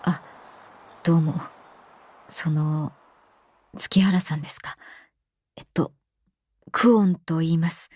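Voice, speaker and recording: A woman's voice: sits low, steady tone, a little mellow, slightly rough, full character voice. A woman voicing a polite, hesitant young man in his late twenties in a radio drama. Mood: nervous, polite. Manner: halting, timid.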